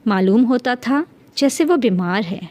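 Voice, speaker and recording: A woman's voice: fairly high pitch, animated, a little slow, a little mellow. A woman narrating a scripted fairy tale as a storyteller.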